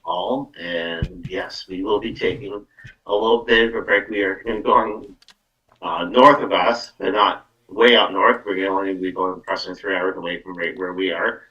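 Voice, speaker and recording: A Canadian man with a very low, forceful voice hosts a casual live show. He makes announcements in a chatty way. The recording is dull and sounds off the microphone. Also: steady tone, normal speed. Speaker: podcast host and psychic medium. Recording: muffled, distant microphone.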